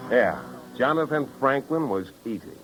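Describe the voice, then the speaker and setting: An actor playing a wry, tough, world-weary private detective in a 1950s radio drama, with slangy, wisecracking delivery and narration. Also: male voice, medium pitch, lively intonation, normal speed, soft background music, natural voice. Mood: brooding, wry. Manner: hard-boiled, wisecracking, slangy. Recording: dull vintage 1950s radio recording.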